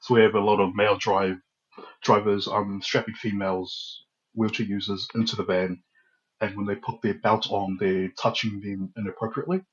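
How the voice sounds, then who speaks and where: A man's voice: on the low side, moderate pace, muffled recording, slight background noise. A young man from New Zealand, a podcast guest telling stories casually and acting out what people said. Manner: lively storyteller who acts out his own quotes. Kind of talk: casual storytelling interview.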